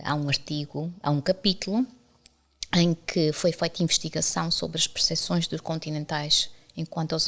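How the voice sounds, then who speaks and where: A middle-aged woman from the Azores with a Portuguese accent, an academic guest talking candidly and telling anecdotes in conversation. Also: low-pitched. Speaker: university professor and author, interview guest. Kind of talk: conversational interview storytelling.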